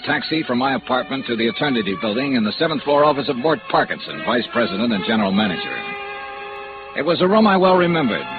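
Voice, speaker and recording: A man playing a wry, tough American insurance investigator in 1950s radio noir, speaking in a clipped, emphatic manner. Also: medium pitch. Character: wry, tough freelance insurance investigator, loyal to his friends. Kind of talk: 1950s radio noir acting, with first-person case narration. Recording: narrow, tinny old radio recording with music.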